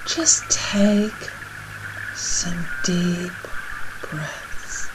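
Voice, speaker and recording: A woman leading a guided breathing meditation in a soothing, measured, soft and breathy voice that nears a whisper at times. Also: fairly low pitch, noisy background. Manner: soothing, measured, unhurried instruction.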